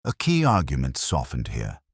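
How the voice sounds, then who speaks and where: A man narrating a first-person detective story in a measured, literary and brooding manner. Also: extremely low pitch, wildly swooping pitch, slightly rough, dry recording, clean studio sound.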